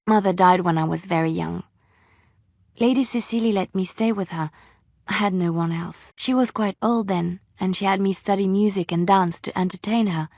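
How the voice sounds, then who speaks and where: An actress playing a wistful female bard and former spy in a video game, with a gentle, measured, storytelling way of speaking. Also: medium pitch, no room sound, studio quality, natural voice. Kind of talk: video game character dialogue.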